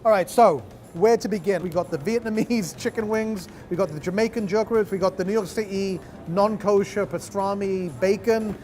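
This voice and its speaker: A man's voice: sits high, normal speed, few pauses, a little mellow, faint music behind. A man hosting a food show, describing dishes as he tastes them with enthusiasm. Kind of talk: food tasting commentary.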